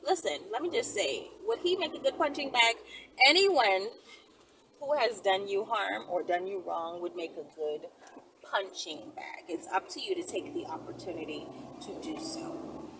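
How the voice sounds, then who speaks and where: A woman's voice: mid-range voice, lively intonation, moderate pace. A woman talking casually to her viewers as a video host, rambling conversationally and often checking in with tag questions. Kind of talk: casual solo talk to viewers. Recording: distant mic, loud vehicle-like background noise.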